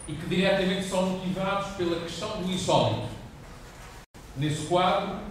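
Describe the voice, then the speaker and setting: Echoey, distant Portuguese male academic. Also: high-pitched. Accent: European Portuguese. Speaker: visiting professor, conference speaker. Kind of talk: academic conference talk with informal opening remarks. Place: conference room, heard from afar with ambient noise. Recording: echoey noisy hall, distant microphone.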